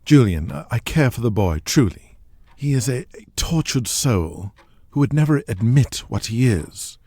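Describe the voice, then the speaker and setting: A man voicing a weary, discreet fixer in an audio drama, protective, formal, measured and confiding. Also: mid-range voice, lively intonation, mellow, husky, dry recording. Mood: pained, protective. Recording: music behind the voice.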